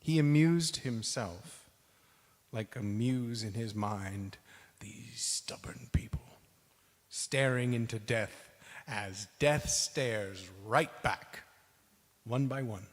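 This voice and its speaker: Man telling a story slowly in a big hall. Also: medium pitch. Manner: theatrical, deliberate pauses between short phrases. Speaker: cast member in a dramatic reading. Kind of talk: dramatic spoken-word storytelling performance. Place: large synagogue hall. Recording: reverberant large hall.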